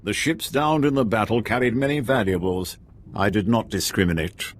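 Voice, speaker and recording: An actor playing a scheming, greedy renegade admiral in a video game, husky and formal, with a deliberate, commanding manner. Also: male voice, fairly low pitch, a little hiss or hum, close to an everyday voice. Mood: bargaining. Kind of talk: dramatic video game acting.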